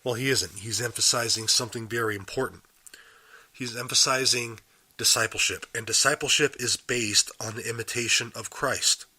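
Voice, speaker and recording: A man hosting a daily Bible podcast while getting over a cold, his voice still a little raspy, explaining a passage in earnest and putting questions to his listeners. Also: medium pitch, fairly even intonation, normal speed, sharp tone. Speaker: podcast host. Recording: live and unedited.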